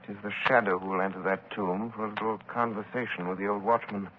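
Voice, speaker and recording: An actor playing a calm, resolute gentleman sleuth, measured and decisive, in 1930s radio drama style. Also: lowish voice, dull audio, natural voice. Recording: thin, hissy 1930s radio broadcast.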